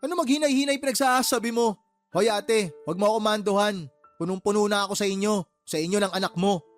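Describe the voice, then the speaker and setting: A man's voice: high-pitched, a little fast, soft background music, close to an everyday voice. A man playing a fed-up, exasperated uncle and boss in a Tagalog radio drama, scolding his sister with heated, sarcastic questions. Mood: angry, fed up.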